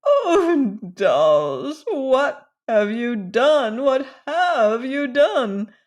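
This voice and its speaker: A fretful, fluttering old woman cousin, as a woman reader puts her on, pitching her voice up high to plead and fuss. The delivery is slow and drawn out, nervous and tearful. It is a storybook reading. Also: very animated, darkish tone, exaggerated voice. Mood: pleading fussy. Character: fussy elderly woman cousin, anxious, matchmaking, tearful.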